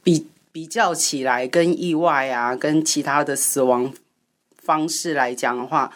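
A woman's voice: deep voice, measured pace. A woman speaking as an interview guest, explaining her writing in a conversational, storytelling way. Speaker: guest, radio drama writer.

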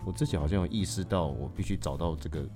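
A man's voice: low-pitched, quick pace. A man with a Taiwanese Mandarin accent, an actor speaking as a podcast guest in a reflective, explanatory, conversational way. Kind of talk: conversational interview.

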